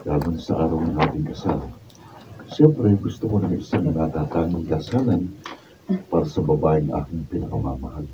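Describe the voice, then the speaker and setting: An actor playing a devoted, agreeable young fiancé, gentle and earnest, in a Filipino radio drama. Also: male voice, extremely low pitch, normal speed. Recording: distant, dull, noisy studio capture.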